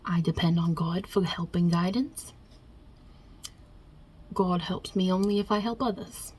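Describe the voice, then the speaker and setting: A woman voicing God as a wry, touchy Italian mob boss in an ASMR roleplay, with a husky, breathy voice and a put-on Italian-American New York accent. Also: lowish voice, a little slow. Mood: reading out questions. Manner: casual, wry, chatty, with softly murmured asides.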